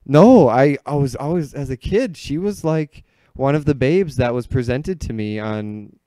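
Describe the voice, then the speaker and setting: A man's voice: medium pitch, lively intonation, a little slow, dark tone, smooth, no room sound. An American man telling stories in casual, comedic podcast chat, joking and peppering his talk with "like".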